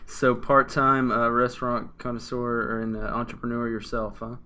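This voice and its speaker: A man's voice: mid-range voice, little pitch movement, sharp tone. Male interviewer, medium voice, hesitant filler-heavy phrasing. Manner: halting, filler-heavy questions. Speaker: interview host. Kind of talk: casual interview hosting.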